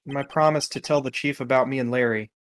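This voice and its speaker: A man's voice: medium pitch, fairly even intonation, quick pace, slight background noise, natural voice. A man voicing a reflective young rookie lawyer who narrates his story in a video game. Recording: game music behind.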